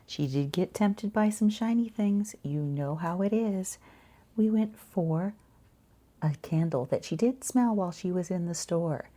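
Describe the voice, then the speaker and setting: A woman talking quietly in an ASMR shop roleplay, gentle and chatty, with a soft, breathy voice that often drops toward a whisper. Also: lowish voice, measured pace. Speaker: ASMR roleplayer as boutique shopkeeper and channel host.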